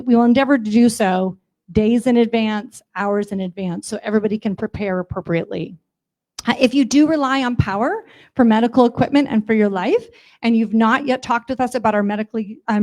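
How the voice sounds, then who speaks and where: Woman, fairly high voice, loud and direct, utility rep at a public meeting. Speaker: utility company representative. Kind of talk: public briefing at community meeting. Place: community meeting room.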